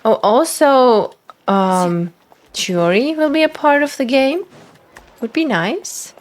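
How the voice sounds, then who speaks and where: A woman streamer giving gushing, excitable live commentary while playing a video game. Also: high-pitched, takes it slowly. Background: game music.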